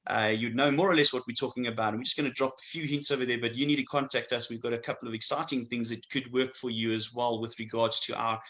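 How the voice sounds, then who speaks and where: A man's voice: mid-range voice, steady tone, quick pace, hardly any pauses, fairly bright tone. A man from Africa, giving an upbeat, salesy introduction as co-host of an immigration webinar. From South Africa. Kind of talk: scripted-sounding promotional intro.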